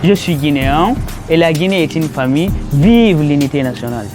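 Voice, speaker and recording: A man's voice: on the high side, expressive, fairly quick, over music. A man from Guinea with a Guinean French accent answering a street interview, explaining and repeating himself.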